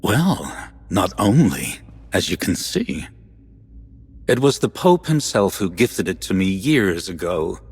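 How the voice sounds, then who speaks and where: A man's voice: low-pitched, big pitch swings, husky, exaggerated voice. A man playing a dignified, shrewd and courteous senior churchman in a video game, speaking formally and without hurry. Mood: courteous, knowing. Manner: unhurried, formal, stately. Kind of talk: video game character acting. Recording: echoing hall, faint background noise.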